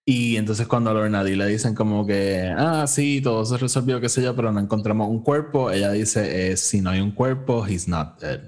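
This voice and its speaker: A man's voice: lowish voice, moderate pace, hardly any pauses, a little mellow. A man with a Puerto Rican accent hosting a casual, informal review chat, mixing English phrases into his Spanish. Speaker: podcast host.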